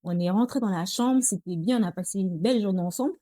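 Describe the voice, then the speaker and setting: A woman's voice: medium pitch, quick pace, dark tone, dry recording, studio quality. A young woman with a Congolese accent chatting to her viewers in a casual vlog, often calling them girls. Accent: Congolese French. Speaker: vlogger. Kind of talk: casual talk to camera.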